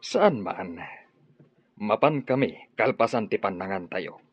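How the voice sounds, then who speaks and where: An Ilocano henchman in a radio drama. His voice is fairly low and self-assured, a little defensive, recorded dry and dull. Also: animated, measured pace, slightly rough, no echo, faint music behind.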